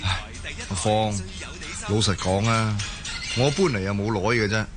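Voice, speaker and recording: A man's voice: fairly low pitch, steady tone, a little slow, over music, natural voice. A man acting a patient, reassuring working-class Hong Kong husband in a Cantonese radio drama. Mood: weary, explaining. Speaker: voice actor in an audio drama.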